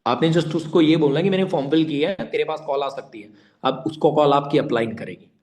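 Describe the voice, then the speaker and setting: A man with a slightly high voice teaches an online meeting informally. He speaks emphatically, repeats key points and puts questions straight to his listeners. English words are mixed into his speech. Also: fairly quick, a little mellow. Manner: emphatic and repetitive, addressing listeners directly with rhetorical questions. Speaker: online network-marketing trainer.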